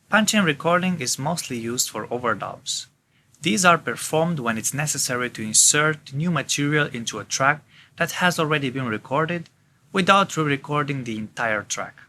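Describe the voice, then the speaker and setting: A man's voice: on the high side, lively intonation, normal speed, sharp tone, a little hiss or hum. A man reads scripted instructions as an instructor, in a formal, methodical, step-by-step way.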